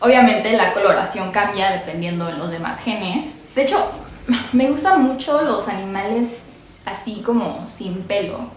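A woman's voice: mid-range voice, a little slow, over music. A young Mexican woman with a Mexican Spanish accent, chatting casually and giggling as she shows her reptiles in a small bare room, recorded on a camera mic at medium distance. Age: young adult. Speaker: reptile keeper vlogger. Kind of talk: casual unboxing vlog. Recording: echoey room with loud ambience.

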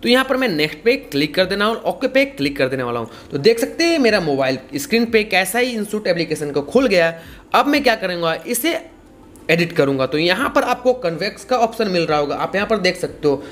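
A young man hosts a casual tutorial. His pitch moves up and down in a lively way as he explains each step, often repeating himself. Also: a little fast. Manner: repetitive, explanatory. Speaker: tutorial host.

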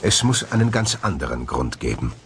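A man's voice: very deep voice, very animated, moderate pace, a bit bright, slight background noise. A man delivering scripted narration for a documentary. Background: background music.

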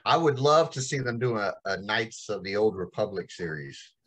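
A man's voice: fairly low pitch, lively intonation, moderate pace, a bit bright. A man in his forties talking casually as a podcast co-host on a video call. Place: indoors in a small home room.

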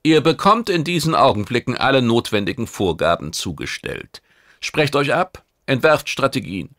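A man voicing a commanding alien warlord who briefs his troops in a forceful, declamatory manner, for an audiobook. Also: medium pitch, sing-song, normal speed, dry recording, studio quality, pitched above the performer's own voice.

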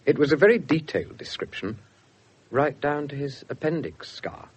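An actor narrating a police story for a 1970s radio drama, detached and factual. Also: male voice, fairly high pitch, animated, moderate pace, breathy edge.

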